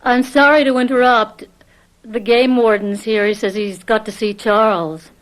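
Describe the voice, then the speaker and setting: An actress playing a hesitant, apologetic, cautious housewife in an old-time radio drama. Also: female voice, a little high, steady tone, normal speed, natural voice, poor audio. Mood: anxious, cautious.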